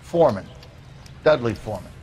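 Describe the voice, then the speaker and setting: An actor playing a grudging, folksy American neighbor being questioned as a witness in a video game drama. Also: male voice, medium pitch, animated, fairly quick, darkish tone, a little hiss or hum, natural voice. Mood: guarded. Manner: folksy, offhand, defensive. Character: evasive, grudging male suburban neighbor and witness.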